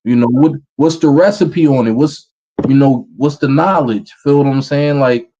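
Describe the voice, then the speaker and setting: A man in his thirties with a New York accent, a rapper answering interview questions in a relaxed way. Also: mid-range voice, normal speed, dull audio. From Brooklyn, New York, USA. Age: 30s. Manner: relaxed, repeats "feel what I'm saying". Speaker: rapper guest.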